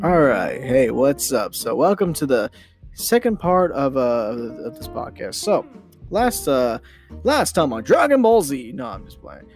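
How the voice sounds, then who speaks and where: Give me an excitable young man rambling on a podcast in a middle-pitched, very animated voice. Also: measured pace, music in the background. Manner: rambling, excitable, stumbles and restarts often; casual slang with frequent "man" and "freaking". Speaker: podcast host. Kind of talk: solo podcast chat.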